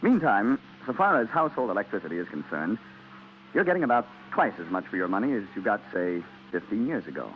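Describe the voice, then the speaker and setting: A man's voice: fairly low pitch, very animated, quick pace. An American man announcing on 1940s radio, reading scripted sponsor talk in a conversational, persuasive way. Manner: conversational, rhetorical questions to listeners. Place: radio broadcast studio. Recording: muffled, noisy 1940s radio recording.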